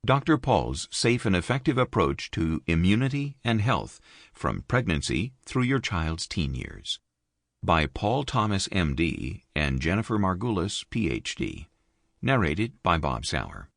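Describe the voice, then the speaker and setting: A man reading an audiobook in a measured, expressive studio narration. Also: gravelly, no room sound. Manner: measured, expressive reading with wide pitch movement. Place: dry recording booth. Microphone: close studio mic.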